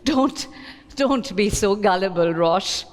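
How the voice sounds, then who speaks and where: A woman's voice: medium pitch, animated, takes it slowly, pitched below the performer's own voice. A woman voicing a proud, embattled Indian theatre director, emphatic and insistent, in a dramatic reading. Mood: indignant, insistent. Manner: emphatic, insistent, repeating words. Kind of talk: dramatic reading of quoted dialogue. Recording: reverberant hall.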